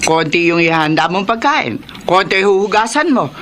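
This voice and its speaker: A woman's voice: lowish voice, animated, moderate pace, a bit bright, a little hiss or hum. An actress playing a bossy, sarcastic, suspicious lady of the house in a radio drama, curt and mocking. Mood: dry, curt. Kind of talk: Tagalog radio drama acting.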